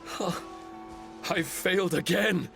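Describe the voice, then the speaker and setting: A man's voice: high-pitched, takes it slowly, gravelly, over music, heavily acted. A man voicing a small paper-cutout spirit in a video game, earnest, formal and self-blaming. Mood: frustrated.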